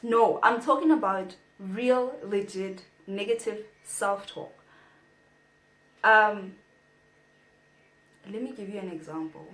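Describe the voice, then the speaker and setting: Slow, chatty woman. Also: mid-range voice, fairly bright tone. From South Africa. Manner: chatty and playful, reads from notes. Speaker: vlogger. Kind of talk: casual advice vlog. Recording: echoey room.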